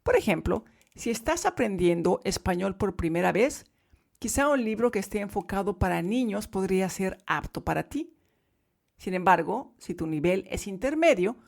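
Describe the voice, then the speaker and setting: A woman teaching Spanish to learners in a podcast lesson, speaking clearly and emphatically. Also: mid-range voice, darkish tone.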